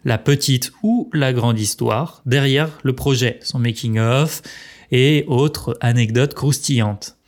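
A man's voice: mid-range voice, takes it slowly. A man co-hosting a podcast, reading a prepared script in a conversational tone. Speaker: podcast co-host. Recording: music playing behind the voice. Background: music.

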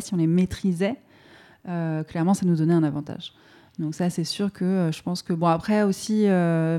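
Low, mellow-voiced woman talking thoughtfully. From France. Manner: hesitant, many fillers and restarts. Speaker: podcast guest. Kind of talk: casual reflective conversation.

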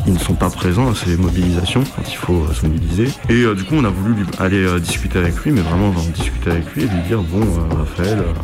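A man in his twenties giving a personal testimony as an activist, in a halting, hesitant way. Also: deep voice. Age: 20s. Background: music.

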